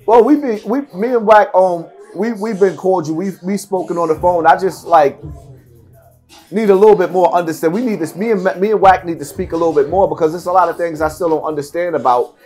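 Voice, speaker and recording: Middle aged new guy, bit high voiced, blunt and emphatic in a casual interview, some music in back. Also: a little fast, darkish tone. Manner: blunt, emphatic, repeats phrases for stress. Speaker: podcast guest. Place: seated at table before branded backdrop, indoors.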